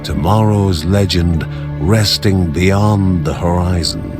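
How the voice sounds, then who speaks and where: A man playing a solemn storyteller, narrating a fantasy game like a storybook legend in measured, scripted phrases. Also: low voice, expressive, heavily acted. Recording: music behind the voice. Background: music.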